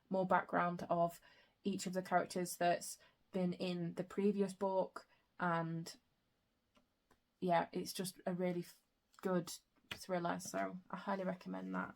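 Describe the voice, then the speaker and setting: A young woman chatting casually about her reading in a book vlog, her throat a bit croaky, with frequent soft fillers. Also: lowish voice. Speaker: book vlogger.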